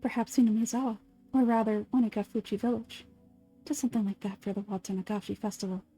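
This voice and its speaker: A woman voicing a calm adult nurse in an anime fan dub, speaking in a soft, measured, lecturing way as she dwells on gruesome details. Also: medium pitch, slightly rough, soft background music, lightly acted. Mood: macabre, relishing. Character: calm adult female nurse relishing grisly history.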